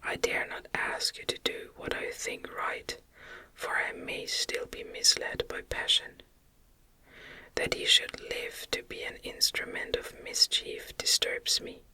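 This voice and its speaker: A woman reading a novel aloud as ASMR narration in a gentle, soothing, breathy whisper. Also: a little slow.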